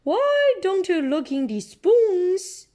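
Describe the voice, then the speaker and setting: Woman as slow, pompous father pig. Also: extremely high pitch, big pitch swings, warm tone, voice pushed up, heavily acted. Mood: pompous, genial. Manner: matter-of-fact, mock-learned. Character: easygoing father pig, pompously explaining things.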